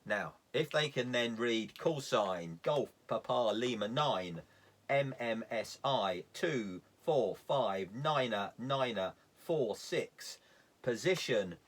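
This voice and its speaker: A man's voice: medium pitch, expressive, slow pace. A middle-aged man giving an informal safety lesson, explaining step by step in an emphatic, plain-spoken way. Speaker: sailing safety instructor. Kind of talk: informal instructional talk.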